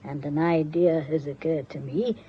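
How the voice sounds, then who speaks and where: Husky, imperious old British grandmother. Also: sits low, steady tone. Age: older. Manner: stately, deliberate, pronouncing. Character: imperious, inquisitive old grandmother, shrewd and stubborn. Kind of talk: British radio drama acting. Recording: dull lo-fi radio recording.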